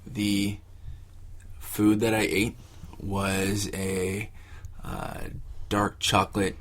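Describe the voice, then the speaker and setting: A man giving casual, reflective interview answers, haltingly searching for his words. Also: on the low side, little pitch movement. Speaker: interviewee, exercise participant.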